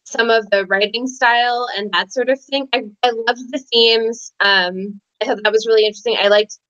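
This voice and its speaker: A woman with a fairly high voice talks casually about books as a co-host. She is tentative in how she puts things, and the call audio is slightly muffled. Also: fairly even intonation, normal speed, a bit bright. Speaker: co-host on a readalong live show. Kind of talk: casual book discussion.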